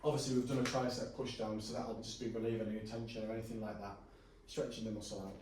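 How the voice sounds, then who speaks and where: A man working as a personal trainer, calmly talking a client through stretches in a gym, his voice a little hoarse. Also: on the low side, quick pace, noisy background. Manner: relaxed, reassuring instructions with frequent fillers. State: going hoarse. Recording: echoey room from a distance.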